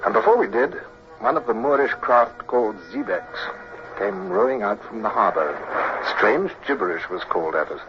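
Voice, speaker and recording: An actor playing an earnest young British naval officer who narrates and gives orders in an old radio drama. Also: male voice, on the low side, very animated, moderate pace, loud music behind the voice. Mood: grave, reflective. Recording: noisy, dull old radio recording.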